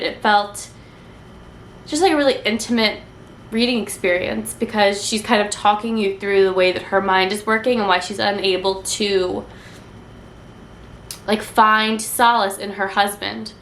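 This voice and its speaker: A woman's voice: mid-range voice, steady tone, a little slow, a bit bright, echoey room, slight background noise. A young woman with a congested, nasal voice chatting casually about books she read, gushing with lots of ums and likes. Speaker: book vlogger. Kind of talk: casual book review chat.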